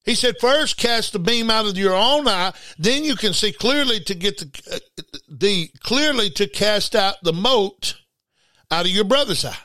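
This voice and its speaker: A man's voice: high-pitched, expressive, measured pace, bright, forceful tone, no room sound. An angry man preaching in a confrontational, scolding way, firing off rhetorical questions and repeating his refrains. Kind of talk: fiery informal sermon.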